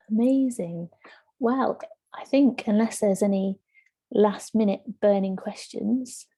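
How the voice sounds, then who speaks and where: Female host, mid-pitched and husky, mellow tone, casual delivery. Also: takes it slowly, pauses often. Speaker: host of an online book launch. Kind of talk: informal event hosting. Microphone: online video call.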